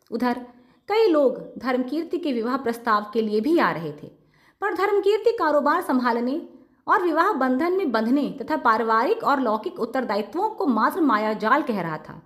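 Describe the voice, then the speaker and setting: A woman narrating a scripted moral tale in an expressive, emphatic storytelling manner. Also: high voice.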